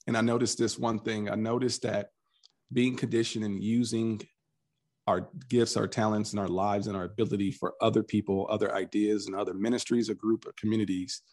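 A man's voice: on the low side, a little fast. A man talking as a podcast guest, telling his story earnestly and acting out what he once said, often restarting his sentences. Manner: earnest, animated storyteller who voices his past self in quotes; frequent restarts and repeated words. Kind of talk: casual conversational interview.

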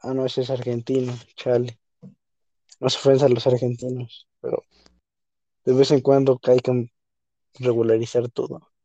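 A man's voice: medium pitch, monotone, normal speed, pauses often, dark tone, dry recording, highs cut off. A young man, co-hosting a podcast in a rambling, casual way and leaning on the same filler word. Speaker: podcast co-host. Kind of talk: casual chat.

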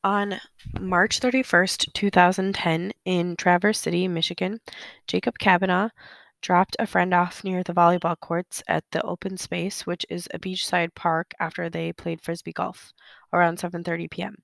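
A sick, hoarse woman hosting a solo true-crime podcast, chatty and apologetic, filling pauses with ums. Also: fairly low pitch, little pitch movement, a bit bright, dry recording. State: sick, hoarse, losing her voice. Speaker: podcast host. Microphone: close podcast microphone.

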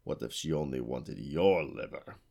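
A nervous, uneasy man who hedges around his mistress, read by a male narrator. The voice stays low and close to the reader's own. It is part of a storybook reading. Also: moderate pace, vocal fry, mellow, exaggerated voice. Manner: uneasy, sidling. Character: nervous man, wary helper of a witch.

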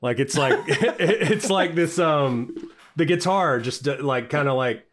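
A man co-hosting a casual music podcast, speaking with excitement, swearing casually and saying "like" constantly. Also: a little high, a little slow. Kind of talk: casual chat.

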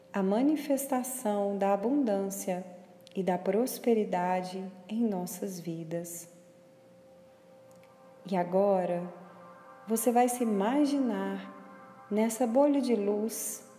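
A Brazilian woman narrating a guided meditation in a measured, instructional way, with long pauses between phrases. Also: mid-range voice, warm tone. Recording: echoey with long reverb, background music. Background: music.

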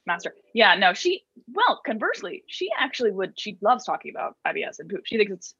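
Husky-voiced young man, bright tone. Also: sits high, very animated, brisk, lo-fi sound. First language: German. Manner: rambling, self-interrupting, playful. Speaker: guest comedian. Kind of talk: casual podcast chat. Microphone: earbuds on a video call.

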